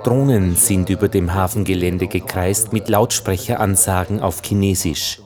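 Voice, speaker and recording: An actor playing a grave ship's chronicler, reading log entries soberly and factually in a radio play. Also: male voice, low-pitched, steady tone, moderate pace, music in the background, lightly acted. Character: grave male chronicler of a ship in lockdown.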